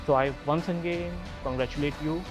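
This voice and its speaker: A man's voice: fairly high pitch, fairly even intonation, fairly quick, music in the background. A male faculty member giving earnest, encouraging congratulations to graduates in a recorded message.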